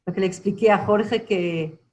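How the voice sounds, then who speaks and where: A professor from Argentina answering questions on a video call, speaking haltingly with many fillers and restarts as she searches for words. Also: mid-range voice, a little mellow, slight background noise.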